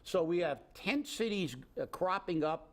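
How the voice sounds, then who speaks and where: A man's voice: high-pitched, animated, measured pace. A man co-hosting a local political talk show, ranting in an indignant, sarcastic way and rambling with restarts. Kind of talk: opinionated casual political chat.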